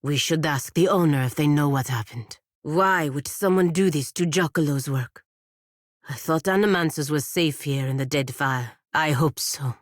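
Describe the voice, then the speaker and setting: An actress voices a proud, dutiful warrior woman in a fantasy game, her voice low and close in a dry space. She speaks, formal and measured, with a sharp, disdainful edge. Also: dry recording, lightly acted. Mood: concerned, wary. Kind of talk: scripted fantasy video game dialogue.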